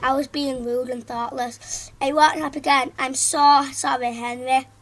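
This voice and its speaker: A woman's voice: high-pitched, fairly even intonation, normal speed, a bit bright. A woman voicing a prim, polite little boy in a storybook reading. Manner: polite, prissy.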